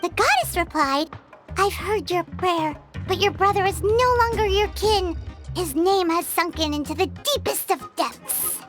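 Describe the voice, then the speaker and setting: A woman voicing a tiny, chatty, excitable fairy-like companion in an anime video game, with a squeaky childlike voice. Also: a little slow, soft background music, exaggerated voice. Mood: dramatic storytelling. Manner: speaks of self in third person, bubbly.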